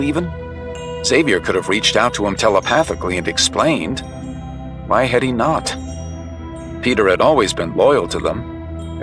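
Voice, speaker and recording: A man delivering dramatic scripted narration of a superhero comic story. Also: sits low. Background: prominent music and effects.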